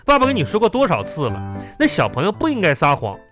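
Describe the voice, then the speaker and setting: A man's voice: sits high, huge pitch swings, quick pace, music in the background. A man playing an exasperated father in a radio comedy, with a Northeastern Chinese accent and sputtering, repetitive, scolding talk. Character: exasperated, blustering father of a little girl. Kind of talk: live comedy radio drama acting.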